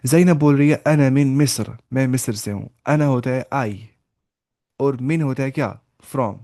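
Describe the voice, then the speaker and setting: A man of middle pitch teaching a class over a video call. He speaks patiently and repeats things, slowing down to sound out each example phrase. The sound is dry and close, with no room echo. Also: warm tone. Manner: patient, repetitive explaining. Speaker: Arabic teacher. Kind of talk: online class lecture.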